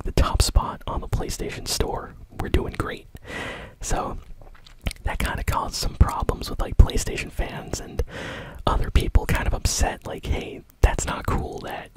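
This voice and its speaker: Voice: very high voice, rough recording. Whispering ASMR gaming news host. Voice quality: breathy whisper. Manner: soft-spoken, casual, unhurried chatter.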